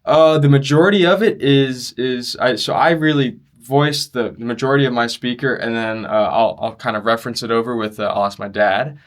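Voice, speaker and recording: A young man in a conversational interview, explaining his loudspeaker design work earnestly and in detail. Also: mid-range voice, a little fast, hardly any pauses. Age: young adult. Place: demo room at an audio show.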